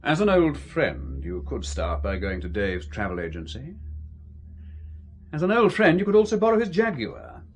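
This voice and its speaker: An actor playing a condescending British spymaster in an audio drama, with an emphatic, patronising delivery. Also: male voice, mid-range voice, wildly swooping pitch, moderate pace, breathy edge, exaggerated voice. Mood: sly, suggestive.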